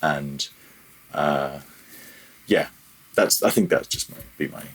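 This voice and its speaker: Man, very deep voice, slightly rough and husky, hesitant, self-deprecating, casual interview chat. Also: slow, fairly bright tone. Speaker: podcast guest, freelance audio developer.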